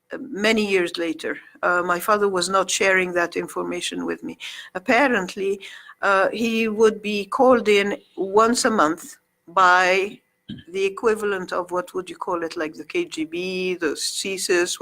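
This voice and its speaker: A middle-aged woman from Egypt, whose mother tongue is Arabic, chatting as a talk-show guest and pausing often with ums and restarts. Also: medium pitch, fairly bright tone, rough recording. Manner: hesitant, many ums and restarts. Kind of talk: casual interview chat.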